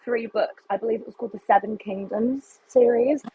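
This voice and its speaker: A woman's voice: fairly high pitch, fairly even intonation, fairly quick, mellow, husky, poor audio. A woman co-hosting a casual livestream chat about books, speaking informally with enthusiastic emphasis. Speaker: guest co-host, book channel creator.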